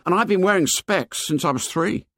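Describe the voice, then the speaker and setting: A man's voice: high voice, expressive, normal speed, a bit bright, no room sound, studio quality, voice pushed up. A man voicing an anxious, talkative young schoolboy with colloquial, ungrammatical speech in an audiobook. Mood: breathy pride. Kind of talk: audiobook character dialogue.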